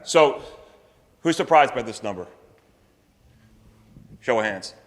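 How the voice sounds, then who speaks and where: A man speaking on a conference panel, loud and projecting without a microphone. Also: medium pitch, expressive, takes it slowly. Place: conference session room. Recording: long hall reverb.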